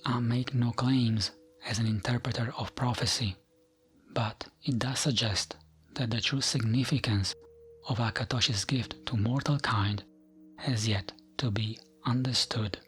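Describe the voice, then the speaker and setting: Slow, soft-spoken man reading ASMR lore. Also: mid-range voice, steady tone, breathy edge. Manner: gentle, soothing, measured with frequent pauses. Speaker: narrator of gaming lore videos. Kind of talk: ASMR bedtime reading of game lore, scripted narration. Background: background music.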